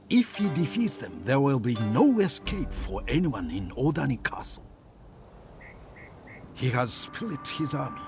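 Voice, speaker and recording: A man's voice: mid-range voice, lightly acted. A man voicing a solemn chronicler of samurai warfare in scripted historical game cutscene narration. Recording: music under the voice. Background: loud background music.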